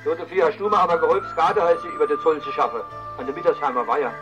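A man's voice: a little high, racing, over music, poor audio. A man, playing a loyal friend heard over a radio link in a radio play. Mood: obliging. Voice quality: steady, obliging.

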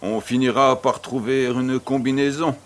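An actor playing a courteous, worldly baron in a French audio drama, with a polite and playful flourish. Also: male voice, mid-range voice, fairly even intonation, normal speed, lightly acted. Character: courteous, worldly Parisian baron, suave and amused.

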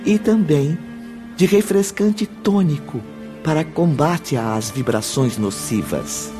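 Slow-paced male narrator over music. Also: fairly high pitch, lively intonation. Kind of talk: scripted radio drama narration, literary.

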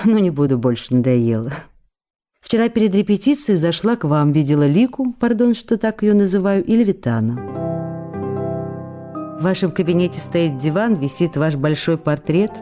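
A woman's voice: lowish voice, warm tone, close to an everyday voice. An actress playing an affectionate, impulsive stage actress who reads her letters in an intimate, chatty, coy way for a radio play. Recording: radio drama with faint music behind.

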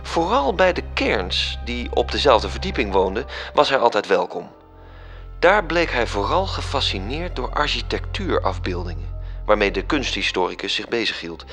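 A man's voice: medium pitch, sing-song, moderate pace, fairly bright tone, faint music behind. An actor narrating a radio drama as an angel telling a story to his superior. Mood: calm.

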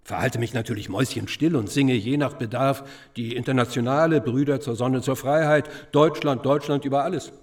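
A male actor plays a sardonic bachelor schoolteacher in a radio play. His mid-pitched voice is chatty and conspiratorial, full of irony. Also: brisk, hardly any pauses, close to an everyday voice. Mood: ironic, conspiratorial. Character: gossipy, sardonic schoolteacher, cynical confirmed bachelor. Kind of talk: conversational radio drama acting.

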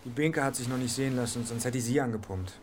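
A man's voice: medium pitch, fast, slight background noise, natural voice. Male actor, breezy journalist, mid-range voice, cheeky, teasing, casual radio play dialogue. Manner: familiar, teasing. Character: breezy, cheeky male newspaperman cadging money.

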